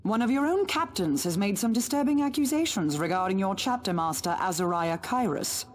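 A woman playing an imperious, threatening Inquisitor in a video game, speaking in a measured, formal way. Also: mid-range voice, lively intonation, lightly acted. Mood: cold, formal. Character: stern, cold female Inquisitor, imperious and threatening. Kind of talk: dramatic video game cutscene acting.

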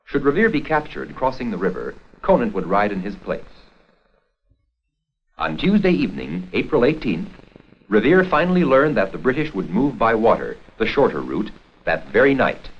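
A man reading scripted documentary narration for an educational history film in a formal, measured storytelling manner. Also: fairly low pitch, sing-song, slight background noise. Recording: dull 16mm film soundtrack with music.

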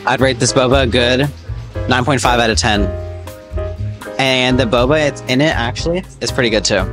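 A man's voice: mid-range voice, moderate pace, over music. A young man vlogging in a casual, joking way, talking straight to the camera through a clip-on mic. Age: young adult. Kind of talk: casual travel vlog. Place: outdoors on city streets, in a rickshaw and a small shop. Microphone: small clip-on mic with a wind muffler.

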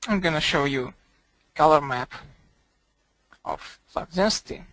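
A man presenting a software tutorial as a screencast voice-over, speaking haltingly in short broken phrases. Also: fairly high pitch, no room sound.

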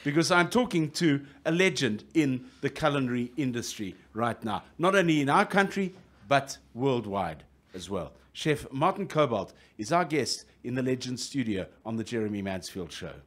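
A middle-aged man with a South African accent hosting a radio interview, jokey and lively, often restarting his sentences. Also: medium pitch, normal speed, few pauses. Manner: jokey, lively, restarts a lot, plugs the station. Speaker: radio host.

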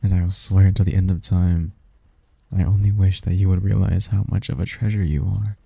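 A man's voice: deep voice, steady tone, fairly quick, creaky, dark tone, breathy edge, no room sound, full character voice. A man voicing a doting, possessive sleep demon lover in an intimate romantic ASMR roleplay, teasing and tender in courtly phrasing. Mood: caring, coaxing.

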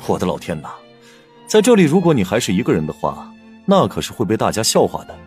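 A man's voice: lowish voice, huge pitch swings, fast, darkish tone. Man playing a roguish prince with swooping delivery. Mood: jovial teasing. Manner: theatrical, effusive. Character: jovial, roguish pleasure-loving prince, hearty and familiar. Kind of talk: dramatized fantasy audiobook acting. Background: music behind the voice.

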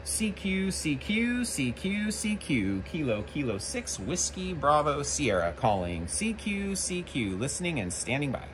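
An American man chatting casually as a ham radio operator and video host, halting and informal. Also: on the high side, sing-song. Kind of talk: casual ham radio chat and vlog narration. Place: covered backyard patio, outdoors. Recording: faint outdoor background noise.

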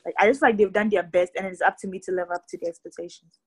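A teenage girl answering hesitantly on a video call, halting with fillers. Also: fairly low pitch, steady tone, breathy edge. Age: teens. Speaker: guest. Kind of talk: casual video call chat. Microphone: phone on video call.

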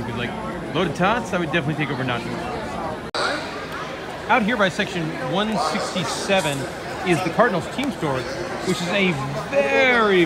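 A man giving an informal, enthusiastic walk-and-talk vlog narration, casually peppering his sentences with "like.". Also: a little high. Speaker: vlog host reviewing a ballpark. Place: busy baseball stadium concourses and shops. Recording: loud crowd and stadium noise. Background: loud crowd chatter and venue noise.

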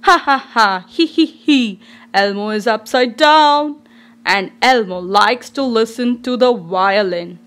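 A woman reading a children's storybook aloud in an expressive, deliberate, child-directed way. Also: a little high. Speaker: storybook reader.

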